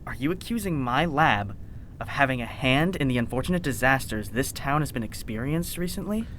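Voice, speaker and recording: A woman's voice: a bass voice, lively intonation, a little fast, fairly bright tone, a little hiss or hum. A woman playing an evasive, self-important scientist with a dry, haughty manner in a fiction podcast. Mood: dry, indignant. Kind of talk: audio fiction podcast acting.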